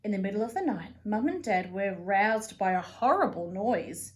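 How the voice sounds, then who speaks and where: A woman reading a children's picture book aloud as a storyteller, with an expressive, deliberate delivery. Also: mid-range voice. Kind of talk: read-aloud for young children.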